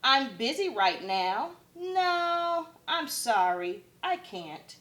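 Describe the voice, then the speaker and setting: A woman's voice: high-pitched, sing-song, drawn-out words, a bit bright, pitched above the performer's own voice, heavily acted. A woman voicing a timid, anxious male koala who politely refuses, in a picture book read aloud. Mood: hesitant, apologetic.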